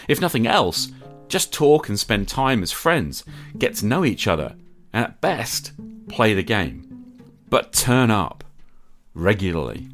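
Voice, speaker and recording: A man's voice: fairly low pitch, a bit bright. A middle-aged man hosting a podcast, delivering an earnest scripted monologue in short, emphatic phrases. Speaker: podcast host.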